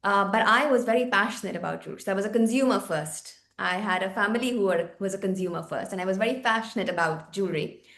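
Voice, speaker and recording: A woman's voice: medium pitch, fast talker, hardly any pauses, a bit bright, echoey room. Enthusiastic woman from India on a video call. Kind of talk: online seminar panel talk.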